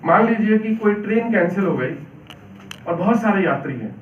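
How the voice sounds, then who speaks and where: A man with a hoarse voice lectures a seminar hall, laying out his points one by one and tossing questions to the listeners. His voice sits fairly high for a man and rings around a roomy space. It comes through a faulty mic from a distance, with a dull, muffled sound and faint noise behind it. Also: animated, normal speed. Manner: explains point by point, asks questions to the audience. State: hoarse throat. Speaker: civil services exam coaching teacher. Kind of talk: seminar lecture to exam aspirants. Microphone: faulty microphone.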